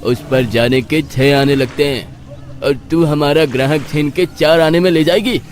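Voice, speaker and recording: A man's voice: fairly high pitch, moderate pace, voice pushed up, lightly acted. A man voicing an indignant, bullying boatman in a horror story, over music. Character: indignant, bullying boatman guarding his trade.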